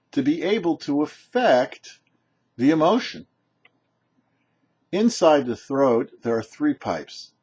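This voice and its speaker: A man presenting a short religious teaching as a rabbi, explaining deliberately with emphatic pauses. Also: medium pitch, husky. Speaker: rabbi presenting a weekly Torah portion show. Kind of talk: short religious teaching, read to camera or mic. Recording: dull, muffled lo-fi sound.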